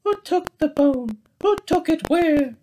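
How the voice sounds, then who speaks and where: A young man voicing excitable cartoon bear detectives from a children's storybook, exclaiming and wide-eyed. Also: very high voice, slow, voice pushed up, full character voice. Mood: alarmed, urgent. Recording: noisy home webcam recording.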